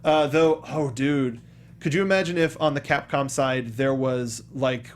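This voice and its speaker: A man's voice: mid-range voice, a little slow. A man hosting a casual panel chat about video games, often circling back and repeating his phrases. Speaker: talk show host.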